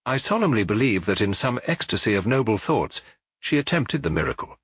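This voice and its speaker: A man's voice: on the low side, sing-song, a little fast, fairly bright tone, husky, no echo, exaggerated voice. A male narrator playing a self-assured sun-cult prophet in an audiobook detective story, with lofty, declamatory delivery. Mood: solemn, smooth.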